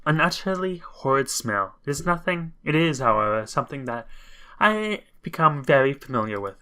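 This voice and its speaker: Man, bright voice, mid pitch, game commentator, casual read-aloud, wry halting asides. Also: animated. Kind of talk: reading a visual novel aloud with casual commentary.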